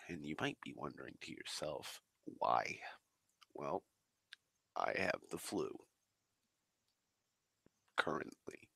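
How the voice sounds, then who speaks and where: A man rambling slowly on a stream, swearing often and sighing, a little congested as he gets over the flu. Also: a bass voice, animated, pushed and bright, no echo. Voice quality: gravelly. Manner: swears a lot, drawling, slow and sighing. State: recovering from flu, slightly congested. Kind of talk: rambling casual monologue. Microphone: desk microphone.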